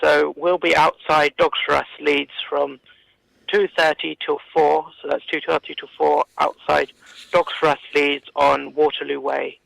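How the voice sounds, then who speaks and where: A man giving halting, hesitant answers as a campaigner being interviewed on the radio. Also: fairly high pitch, flat delivery, creaky, fairly bright tone, poor audio. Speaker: animal welfare campaigner, interview guest. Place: radio studio.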